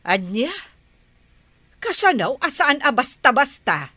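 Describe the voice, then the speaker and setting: A woman's voice: sits high, very animated, slow pace, sharp tone, dry recording, full character voice. A woman with an Ilocano accent acting a baffled, dismayed village woman in a comedy drama. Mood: surprised.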